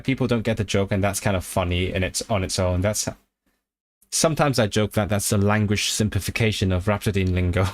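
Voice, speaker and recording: A man, casually chatting on a livestream and answering viewers in a rambling way. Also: on the low side. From Hong Kong. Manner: rambling, with fillers and self-corrections. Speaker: language YouTuber on a livestream.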